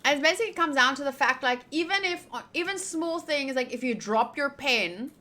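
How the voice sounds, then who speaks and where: A woman's voice: high-pitched, expressive, moderate pace, sharp tone. A young woman streaming a game playthrough, casually reading dialogue aloud and drifting into playful, rambling asides.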